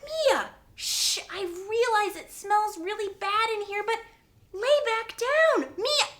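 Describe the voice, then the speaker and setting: A woman acting out a flustered, easily panicked preschool teacher in a children's story, loud and urgent. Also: extremely high pitch, expressive, slow pace, voice pushed up, full character voice.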